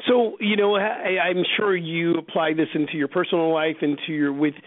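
Guy hosting a chatty radio interview, pretty high voice, dry and tinny like a phone. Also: fairly even intonation, moderate pace, no echo. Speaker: radio show host. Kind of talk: casual interview chat.

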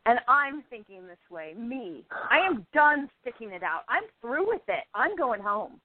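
A woman's voice: on the high side, sing-song, a little slow, no echo. A woman acting a stubborn, frustrated thirty-year-old struggling actress in an amateur radio play, emphatic and theatrical. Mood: defiant. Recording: tinny phone-line internet radio audio.

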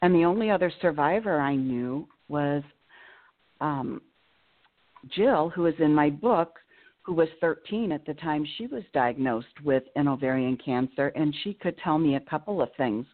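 A woman's voice: deep voice, normal speed. Woman on a tinny phone line. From Illinois. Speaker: guest, nurse and cancer survivor. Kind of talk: phone-in radio interview.

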